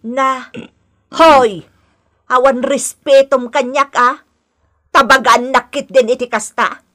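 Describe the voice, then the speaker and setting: A woman's voice: high-pitched, steady tone, slow, fairly bright tone. An actress with an Ilocano accent playing a domineering, quarrelsome mother-in-law who scolds in an exaggerated radio-drama style. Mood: scolding, insistent. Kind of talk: Ilocano comic-melodrama radio acting.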